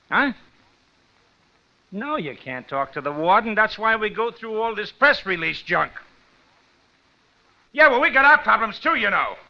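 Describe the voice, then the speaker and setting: A man's voice: high voice, natural voice. An American man playing a harried, officious prison desk sergeant in a 1950s radio drama. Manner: brisk, officious, flustered hesitations. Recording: muffled old radio recording.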